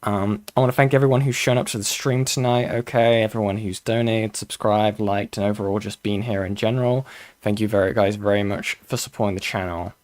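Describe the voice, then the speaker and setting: A hoarse man, voice fading, signs off his stream in a slightly low, dry voice. He is chatty and keeps tagging "okay?" onto his lines. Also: fairly even intonation, brisk, darkish tone, no echo. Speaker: streamer host. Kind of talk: casual stream sign-off.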